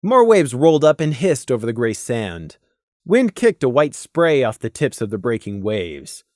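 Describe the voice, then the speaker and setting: Audiobook narrator for fantasy fiction, mid-pitched, animated delivery, dry sound. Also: normal speed, no room sound.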